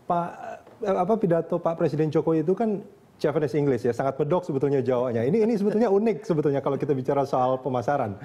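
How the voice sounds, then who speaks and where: A man's voice: on the high side, expressive, quick pace, dark tone, dull audio. A man hosting a TV news dialogue, prompting his guests with questions and frequent agreeing hums. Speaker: news anchor and moderator. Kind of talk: TV talk show moderation. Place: TV news studio. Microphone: lapel.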